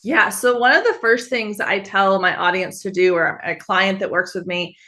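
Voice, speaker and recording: A woman in her thirties from Texas, a guest on a business podcast. Her voice is bright and a little sharp, and she sounds friendly and upbeat as she answers. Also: mid-range voice, moderate pace. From Texas, USA. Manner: friendly, upbeat, easy laughs. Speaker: guest, photographer and business coach. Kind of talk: podcast interview.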